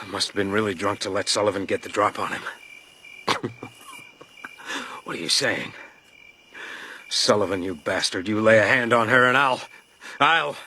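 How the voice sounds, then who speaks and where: An actor playing a weary, hard-drinking detective, terse and defiant, in a radio drama. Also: male voice, on the low side, slow pace, long pauses, bright, forceful tone, slight background noise, heavily acted. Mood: rambling, bitter. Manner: terse, clipped, world-weary.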